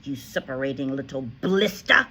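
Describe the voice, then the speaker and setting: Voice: medium pitch, big pitch swings, very slow, vocal fry, fairly bright tone, a little hiss or hum, pitched above the performer's own voice, exaggerated voice. A reader voicing a huge, tyrannical headmistress who barks and screams insults at a pupil in a storybook reading. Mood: screaming insults. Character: furious bullying female headmistress, huge and tyrannical. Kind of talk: audiobook character voice.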